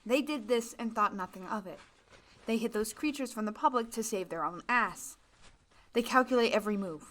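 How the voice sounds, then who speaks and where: A woman's voice: a little high, normal speed, fairly bright tone, natural voice. Bitter, distrustful young woman, played by a woman in an audio drama; grim and insistent. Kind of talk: fan audio drama acting.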